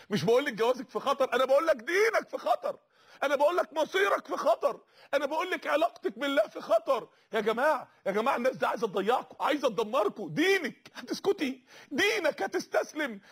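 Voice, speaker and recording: A man's voice: very high-pitched, fairly bright tone, slightly rough. A man preaching an impassioned sermon with an Egyptian accent, urgent and repetitive, calling out to his listeners. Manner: rhetorical, repetitive, urgent calls to the audience.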